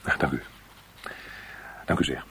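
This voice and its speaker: An actor playing a nervous, apologetic records clerk in a radio play, speaking haltingly. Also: male voice, bright, forceful tone. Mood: pleading. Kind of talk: radio drama acting.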